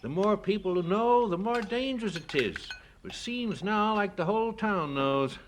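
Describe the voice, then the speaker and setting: A man's voice: high-pitched, animated, normal speed, a little hiss or hum. An actor playing a genial, folksy small-town ice cream shop man in a 1970s radio drama. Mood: regretful. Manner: folksy, chuckling, chatty.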